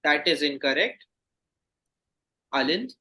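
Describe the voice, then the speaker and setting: Bright, higher-voiced male quizmaster. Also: very animated, slow. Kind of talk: reading quiz questions aloud.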